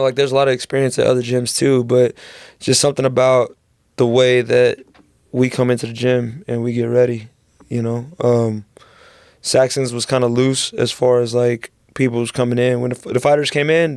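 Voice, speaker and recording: A man's voice: mid-range voice, level tone, normal speed, a little mellow, clean tone, no room sound. Guy just rambling on a podcast, slangy, says bro a lot, dry close sound. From San Antonio, Texas. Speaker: guest striking coach. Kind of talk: casual podcast chat. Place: podcast studio.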